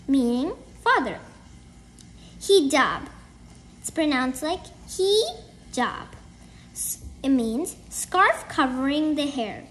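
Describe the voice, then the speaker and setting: A woman's voice: high-pitched, fairly bright tone. A woman reading a children's storybook aloud in a careful, word-by-word way. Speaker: storybook reader. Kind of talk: children's book read-aloud.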